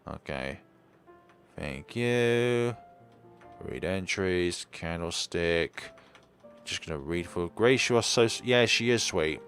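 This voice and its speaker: A man with an extremely deep, gravelly voice chats slowly and calmly while streaming a game. He mutters to himself as he clicks through menus. Soft game music plays faintly behind him. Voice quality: naturally deep voice. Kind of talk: casual live-stream gameplay chat.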